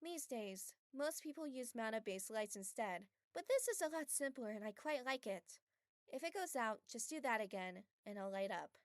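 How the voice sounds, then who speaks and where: A woman playing a gentle, kindly young woman in an anime-style audio drama dub, soft-spoken and caring with a light teasing streak. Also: fairly high pitch, lively intonation, moderate pace, dry recording, clean studio sound, close to an everyday voice.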